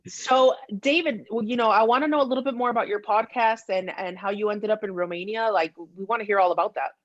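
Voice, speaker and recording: A woman's voice: mid-range voice, fast, no room sound. Woman host, casual, slightly lo-fi and dry. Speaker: podcast host asking questions. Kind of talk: casual chat. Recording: slightly dull, lo-fi sound.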